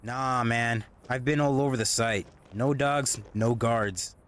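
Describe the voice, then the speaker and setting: A man playing a confident young guy in an audio drama. He speaks casually at a medium pitch, like the one who knows the way. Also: fairly even intonation, slow pace, a bit bright. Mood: casual, assured.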